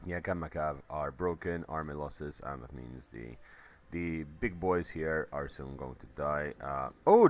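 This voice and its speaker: A man with an extremely low, rumbling voice talks through a battle replay in a loose, rambling way, full of ums and uhs. His voice is a bit crackly today, and a faint hum sits under the recording. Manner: relaxed, rambling play-by-play with many fillers. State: voice a little crackly. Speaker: game commentator. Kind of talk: casual battle replay commentary.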